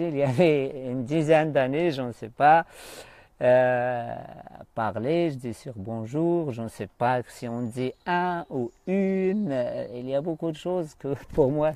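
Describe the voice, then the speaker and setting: A man's voice: mid-range voice, dark tone, no echo. Hesitant man coaching live. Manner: hesitant, often searching for words. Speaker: life coach hosting a live stream. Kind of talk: unscripted live-stream talk to viewers.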